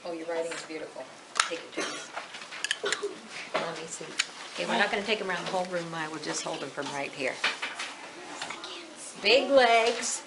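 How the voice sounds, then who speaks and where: A woman's voice: fairly low pitch, normal speed, pauses often. A woman speaking as an elementary school teacher, giving informal instructions and talking with young children in a classroom. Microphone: distant from the speaker. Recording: distant, echoey, very noisy classroom recording.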